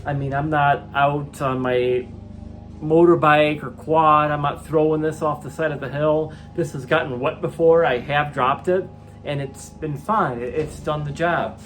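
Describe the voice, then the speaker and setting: A man's voice: fairly high pitch, fairly even intonation, unhurried, faint music behind. An American man hosts a casual gear review and walkthrough, chatting informally to viewers as he explains things for beginners. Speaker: host of a product review video.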